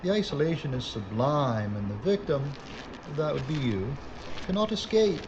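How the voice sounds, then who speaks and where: An actor playing a gloating, traitorous police inspector and killer in an audio drama, theatrical, smug and menacing. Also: male voice, a little high, expressive, normal speed, highs cut off, background noise. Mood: grandiose relish. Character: gloating traitorous chief inspector, murderous Nazi saboteur.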